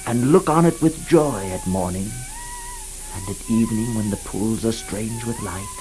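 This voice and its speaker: A man's voice: medium pitch, darkish tone, poor audio. A man playing a lovelorn king who declaims his dream in a grandiose, yearning 1960s radio drama monologue. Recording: 1960s radio production with music behind.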